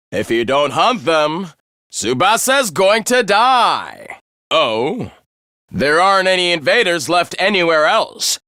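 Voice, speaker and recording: A man's voice: high-pitched, pushed and bright, no room sound, studio quality, heavily acted. A man voicing a smug, theatrical young male student in a video game, mock-polite and taunting. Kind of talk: English video game voice acting.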